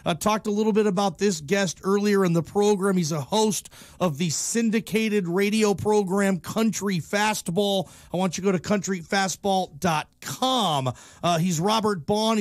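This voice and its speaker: A man's voice: high voice, no room sound, studio quality. A man with an American accent hosting casual, slangy sports talk radio in an enthusiastic, informal manner.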